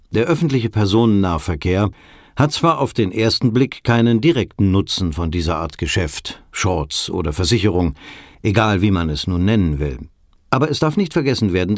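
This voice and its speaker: A man's voice: lowish voice, no echo, studio quality. A man playing a matter-of-fact radio reporter, delivering scripted documentary narration in a radio play. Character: matter-of-fact male radio reporter explaining a new system.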